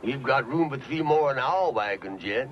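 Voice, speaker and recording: A man's voice: mid-range voice, animated, moderate pace, lightly acted. A man playing a helpful, easygoing pioneer with a neighborly, rustic manner in a western radio drama. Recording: dull lo-fi radio recording, faint noise.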